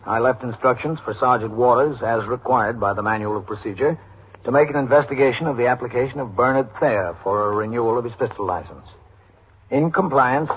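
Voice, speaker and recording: A formal American police captain, played by a male actor, narrates in measured, procedural radio-drama style. His voice is mid-pitched, and the old recording carries faint background noise. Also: natural voice, rough recording.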